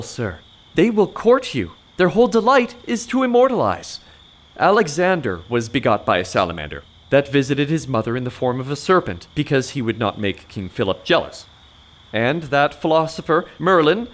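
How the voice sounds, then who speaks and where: A man's voice: mid-range voice, natural voice. A man playing a con man who poses as a learned mystic in an audio farce, declaiming grandly and with authority in period English.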